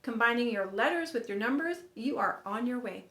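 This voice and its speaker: A woman's voice: fairly high pitch, animated, fairly quick, pushed and bright. A woman in her fifties teaching a sign language lesson, counting aloud and encouraging viewers in an upbeat way. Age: 50s. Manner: upbeat, encouraging, laughs at own slips. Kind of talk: counting aloud while demonstrating signs. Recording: echoey room.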